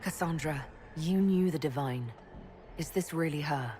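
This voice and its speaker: A resolute leader in a fantasy game. She is direct and questioning, with a fairly low voice and faint noise behind it. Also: unhurried, warm tone. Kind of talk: dramatic fantasy game acting.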